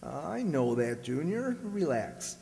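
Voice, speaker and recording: Man playing a grumpy, higher-voiced blustering husband. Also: sing-song, slow, gravelly, warm tone, full character voice. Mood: grumbling. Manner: grumbling, wisecracking, folksy. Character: grumpy, blustering, scheming husband in radio comedy. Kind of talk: old-time radio comedy acting. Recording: very reverberant hall stage recording.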